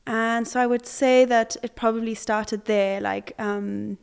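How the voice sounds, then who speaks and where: A woman's voice: a little high, steady tone, a little slow, gravelly, smooth. Hesitant young woman from Zimbabwe. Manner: hesitant, many fillers and restarts. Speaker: artist. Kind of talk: conversational interview.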